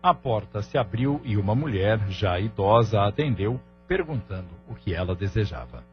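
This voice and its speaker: A man narrating a scripted audio novel in a formal, measured manner. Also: fairly low pitch, lively intonation. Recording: dull lo-fi audio with music underneath.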